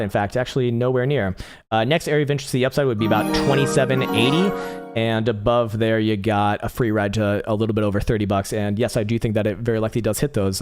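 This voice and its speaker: Fast, profane man, a bit hoarse. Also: mid-range voice. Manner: rapid, profane, laughs often, restarts words. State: losing his voice. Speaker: livestream host, crypto chart analyst. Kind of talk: casual live-stream chart commentary.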